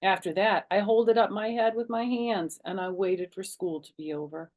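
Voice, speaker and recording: A woman reading a children's chapter book aloud as a storytime narrator, animated and dramatic in her telling. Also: mid-range voice, moderate pace.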